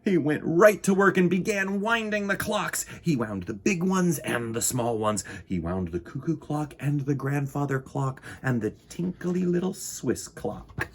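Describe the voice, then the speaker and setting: A man hosting a children's storytime, reading a picture book aloud in an expressive, theatrical and playful way. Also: fairly high pitch, unhurried, hardly any pauses. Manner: expressive, dramatic, playful with sound words.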